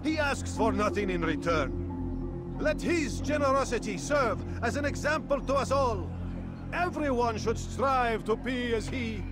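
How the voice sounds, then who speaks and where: An actor playing an excitable street propagandist in a video game, with a declamatory delivery. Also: male voice, very high voice, a little slow. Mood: preaching. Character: loud male street propagandist for a ruler. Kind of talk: video game character acting. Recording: music behind the voice.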